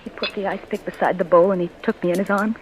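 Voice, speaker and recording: A woman's voice: mid-range voice, steady tone, fast, a little hiss or hum, natural voice, low-quality recording. A woman in 1940s radio drama style, playing a frightened young wife who narrates her own descent into murder in breathless, stammering words. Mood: tense. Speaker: narrator and lead character. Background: music.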